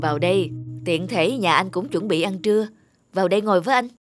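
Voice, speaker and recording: An actor playing a sensible peasant elder brother in a cartoon, speaking in a measured, admonishing manner. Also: male voice, high voice, faint music behind, lightly acted. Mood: advising. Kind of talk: cartoon voice acting.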